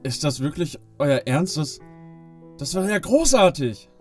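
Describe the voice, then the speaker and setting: A man playing Santa's sheepish, eager cousin in a children's Christmas audio play, with a hesitant, halting way of talking. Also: a little high, very animated, a little mellow, faint music behind. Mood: grateful, delighted. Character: sheepish, eager male cousin of Santa, later Saint Nicholas.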